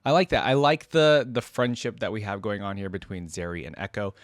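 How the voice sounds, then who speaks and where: A man's voice: fairly low pitch, fairly quick, dry recording, studio quality. A man hosting a reaction video, commenting casually and thinking aloud in an informal way as he goes.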